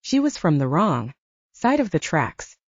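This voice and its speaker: A woman's voice: low voice, big pitch swings, unhurried, dry recording. A woman reading scripted fiction as an audiobook narrator. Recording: dull, slightly lo-fi, dry recording.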